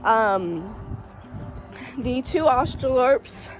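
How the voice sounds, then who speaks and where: A chatty woman with a fairly high voice, a little stuffy and congested. She talks excitedly, with plenty of "um"s, while filming outdoors beside a shed. Faint music plays softly underneath. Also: extremely slow, poor audio. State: stuffy from allergies. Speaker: farm vlogger. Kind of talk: casual vlog.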